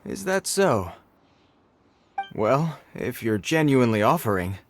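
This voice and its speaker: An actor playing an earnest, dutiful soldier guard in a video game, speaking in formal, official phrases. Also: male voice, medium pitch, sing-song, takes it slowly, slight background noise. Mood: hesitant. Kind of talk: scripted video game dialogue. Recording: game music behind the voice.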